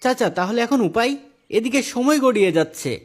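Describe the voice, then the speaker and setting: A man's voice: extremely high pitch, animated, brisk. A man playing an anxious, pious village man in a moral cartoon story, given to worried exclamations.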